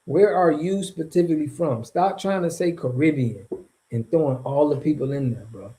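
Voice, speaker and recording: A man hosting a casual livestream, reacting to viewer comments in an animated, slangy and mocking way. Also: fairly high pitch, moderate pace. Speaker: streamer reacting to viewer chat.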